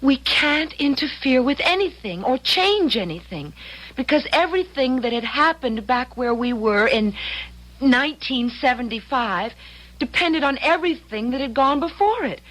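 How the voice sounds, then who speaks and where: High-voiced, earnest young time traveler woman. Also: animated, normal speed, fairly bright tone, low-quality recording. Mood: anxious, earnest. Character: earnest young female time traveler, anxious but loyal. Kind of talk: old-time radio drama acting.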